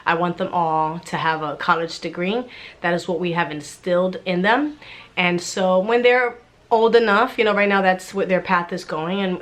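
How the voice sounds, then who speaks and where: A woman's voice: fairly low pitch, a bit bright. A woman in her thirties, a homeschooling mom vlogger, chatting casually to viewers with a congested, stuffy voice from a cold. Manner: chatty, friendly, talks straight to viewers. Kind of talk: casual chat to camera.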